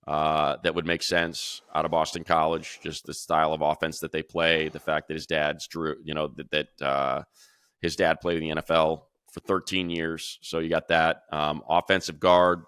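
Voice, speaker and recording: A man with a deep voice, recorded close and dry, gives unscripted football analysis. He is conversational and opinionated, working through his thoughts out loud like a sports radio regular. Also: clean tone, no echo. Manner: conversational, opinionated, thinking aloud. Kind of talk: casual unscripted football draft commentary.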